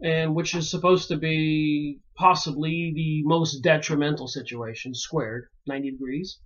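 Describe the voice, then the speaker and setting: A man from Pennsylvania musing aloud in a rambling solo monologue, pausing often and filling gaps with "uh". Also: a little high, steady tone, fairly bright tone. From Pennsylvania, United States. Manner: slow and musing, many pauses and fillers. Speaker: video commentator. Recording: dull lo-fi recording.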